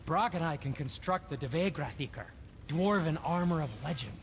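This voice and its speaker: Fairly high, well-spoken dwarf. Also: male voice, animated, normal speed, slight background noise. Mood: grandiose. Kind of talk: video game character acting.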